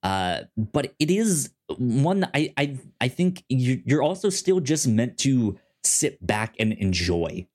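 A man's voice: mid-range voice, sing-song, slow, hardly any pauses, a little mellow. A man co-hosting a casual film discussion, stammering and repeating words as he works through his thoughts. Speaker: podcast co-host.

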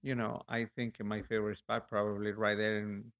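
A middle-aged man with a Peruvian accent, an artist talking in a relaxed interview, reflective and halting, with many restarts. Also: on the low side, creaky, background noise.

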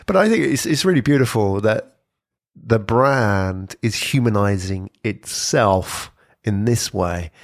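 A man giving an informal explainer talk on podcasting, lively and conversational, with frequent "sort of" hedges. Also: lowish voice, takes it slowly, no room sound, clean studio sound. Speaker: presenter on brand podcasting.

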